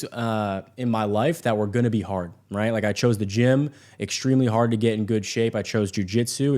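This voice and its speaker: A man's voice: fairly low pitch, darkish tone. A man in his twenties from Vermont with an American accent, telling his personal story unscripted to an audience in an earnest, emphatic way, repeating phrases and checking in as he goes. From Vermont, United States. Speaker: sales entrepreneur introducing himself.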